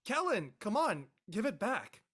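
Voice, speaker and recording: A man playing an exasperated, reluctant high-school boy in an amateur script reading, with a whiny, protesting manner. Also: sing-song, measured pace, fairly bright tone, breathy edge, heavily acted. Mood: pleading. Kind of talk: amateur audio drama table read.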